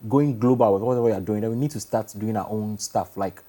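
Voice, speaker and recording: Male interview guest, Ghanaian accent, rapid delivery, warm dark timbre. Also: lowish voice. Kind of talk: casual interview answers.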